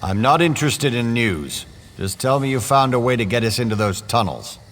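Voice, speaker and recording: A man's voice: medium pitch, animated, normal speed, a bit bright, a little hiss or hum, lightly acted. A man voicing a blunt, impatient soldier in a fantasy video game, heard from off with long reverb. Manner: curt, impatient.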